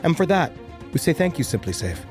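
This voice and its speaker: A man with a high, very lively voice reads podcast ads over faint music. He keeps it jokey and wanders into silly asides between the pitches. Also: fast talker. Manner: jokey, drifts into silly tangents. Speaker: podcast host reading ads. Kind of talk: playful ad read.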